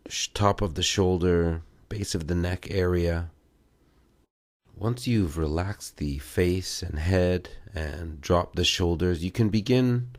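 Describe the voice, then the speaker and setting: A man giving guided meditation and self-massage instructions in a measured way, pausing between short phrases. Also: deep voice, a little mellow, no echo.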